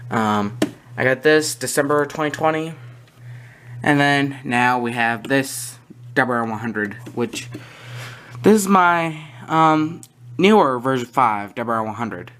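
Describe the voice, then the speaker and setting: A hobbyist collector talking through items on camera in a casual, halting way, often trailing off between points. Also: mid-range voice, animated, fairly bright tone, a little hiss or hum. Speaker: collector presenting a hobby video. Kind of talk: casual product walkthrough. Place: indoors in a small room at home. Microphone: handheld camera or phone near the speaker.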